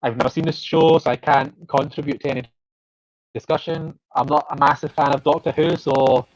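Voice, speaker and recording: A man's voice: a little high, steady tone, brisk, dry recording. A man from Glasgow with a Glaswegian accent, a guest in a casual livestream chat, talking hesitantly with plenty of ums and a self-deprecating tone. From Glasgow, Scotland. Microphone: video call webcam. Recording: dry, muffled video call audio.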